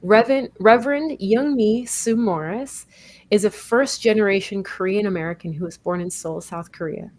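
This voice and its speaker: Woman, talk show host, earnest, explanatory, video call, mid pitch. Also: moderate pace, darkish tone. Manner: earnest, explanatory, with some fillers and self-corrections. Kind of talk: live show hosting, introducing a guest.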